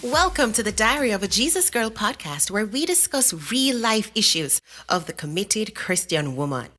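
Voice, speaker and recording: Intro voice: woman, measured scripted announcement, lively lilting pitch. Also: soft background music. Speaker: podcast announcer.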